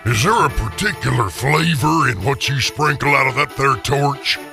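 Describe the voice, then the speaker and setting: A man's voice: mid-range voice, expressive, normal speed, gravelly, over music, pitched above the performer's own voice. A man playing a frightened, repentant old miser in a radio drama, pleading and hesitant. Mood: humbled, curious. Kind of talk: radio theater acting.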